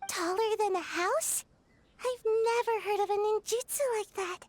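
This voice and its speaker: Very high, sleepy little ninja girl. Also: unhurried, a little hiss or hum. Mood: reluctant, weary. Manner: reluctant, hesitant, deadpan grumbling. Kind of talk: English game voice acting.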